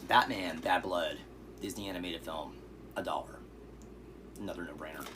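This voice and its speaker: A man with a very low, hoarse voice runs through a pile of cheap movie finds, easygoing and rambling, with fillers along the way. There is clear steady noise behind him. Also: moderate pace. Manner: relaxed, rambling, with fillers and asides. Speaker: vlogger showing thrift finds. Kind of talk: casual vlog.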